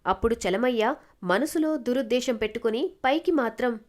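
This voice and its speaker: A woman's voice: fairly high pitch, lively intonation, moderate pace. A woman narrator reading a scripted moral story.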